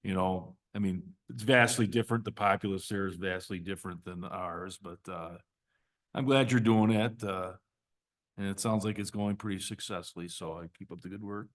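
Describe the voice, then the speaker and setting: Male committee chair from Milwaukee, lowish voice, friendly, rambling. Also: fairly even intonation, normal speed. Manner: friendly, rambling, supportive remarks. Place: village committee meeting room.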